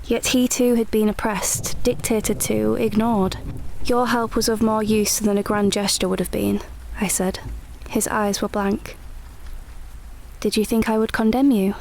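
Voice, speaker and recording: A woman playing a spirited, frank young suffragette of 1912 in a radio drama, narrating and speaking in a measured, earnest, literary way. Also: mid-range voice, level tone, gravelly, a little mellow, a little hiss or hum, poor audio. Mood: sombre, reflective.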